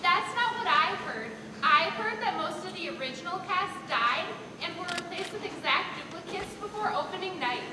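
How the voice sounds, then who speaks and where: A doubtful, gossipy student played by a teenage girl in a stage comedy. Her very high voice carries from far away in a noisy, echoing hall. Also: animated, normal speed, few pauses. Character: doubtful, gossipy female student cast member. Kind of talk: scripted stage comedy acting. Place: large school theatre stage, audience present. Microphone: far camera in audience. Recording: distant, noisy, echoey auditorium recording.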